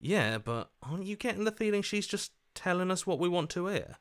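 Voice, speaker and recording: Game role: earnest everyman inner voice, sceptical and reasoning aloud, expressive rises and falls. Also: sits high, fairly quick, clear, resonant tone, heavily acted. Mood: puzzled, concerned. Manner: questioning, hesitant, reasoning aloud. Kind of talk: video game voice acting.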